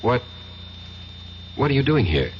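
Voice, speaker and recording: An actor playing an anxious, defensive professor in a 1970s radio drama, hesitant and pleading yet insistent. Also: male voice, medium pitch, sing-song, measured pace. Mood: nervous, pleading. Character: anxious, defensive male professor accused of killing. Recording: muffled, noisy 1970s radio recording.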